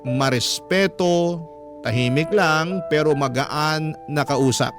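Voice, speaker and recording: A man narrating a first-person radio story in a dramatic, conversational, expressive way. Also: a little high, takes it slowly. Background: music.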